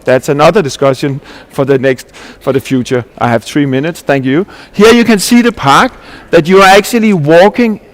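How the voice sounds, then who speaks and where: A man's voice: on the high side, normal speed. A man from Denmark, giving an earnest conference presentation as a landscape architect, explaining ideas with restarts and rhetorical questions.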